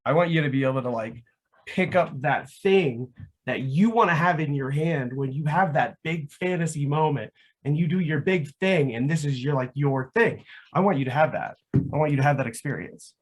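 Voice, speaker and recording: A man's voice: a little high, moderate pace, breathy edge. A man talking casually and enthusiastically as a guest about his hobby, rambling and saying "like" often. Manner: enthusiastic, rambling, frequent "like" as filler. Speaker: guest, LARP foam crafter.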